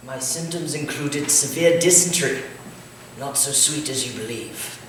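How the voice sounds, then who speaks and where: A man's voice: mid-range voice, moderate pace, close to an everyday voice. A man playing a dry, deferential Victorian manservant in a staged thriller, speaking with formal understatement. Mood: dryly polite. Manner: formal, understated, politely contradicting. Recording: distant, echoey stage recording with clear noise.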